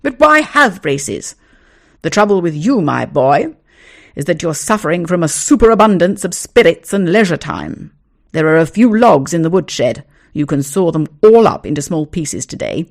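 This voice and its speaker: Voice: mid-range voice, big pitch swings, normal speed, vocal fry. A reader doing a pompous lecturing father. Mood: stern lecturing. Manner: lecturing, dryly sarcastic. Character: pompous stern middle-aged father.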